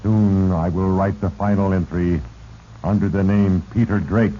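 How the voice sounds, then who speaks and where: An actor playing Fate, a sinister, solemn spirit who narrates mortals' doom in a grave, deliberate manner for a 1940s radio drama. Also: male voice, low voice, fairly even intonation, dark tone. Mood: ominous. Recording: dull, noisy 1940s radio recording.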